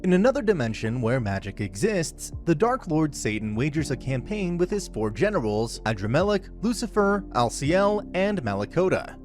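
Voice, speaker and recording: Matter-of-fact male narrator, lively intonation. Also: fairly high pitch, normal speed, few pauses, faint music behind. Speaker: recap video narrator. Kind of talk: scripted plot summary narration.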